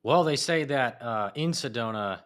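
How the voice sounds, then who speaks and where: A man chatting as a podcast guest, an animated storyteller whose voice jumps up when he gets excited. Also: mid-range voice, normal speed. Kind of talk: casual chat. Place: apartment in a big city.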